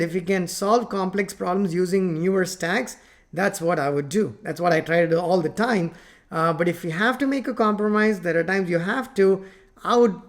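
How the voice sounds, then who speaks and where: Rambling man with Tamil mother tongue. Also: on the high side. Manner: rambling, many fillers and restarts, gesturing with both hands. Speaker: software company founder teaching coding. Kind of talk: unscripted talk to camera. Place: home office with plant and photos on wall.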